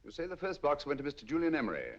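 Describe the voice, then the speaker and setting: An actor playing a commanding, middle-aged master detective with crisp, precise diction, in 1940s film style. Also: male voice, on the high side, wildly swooping pitch, rapid-fire. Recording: dull lo-fi 1940s film sound.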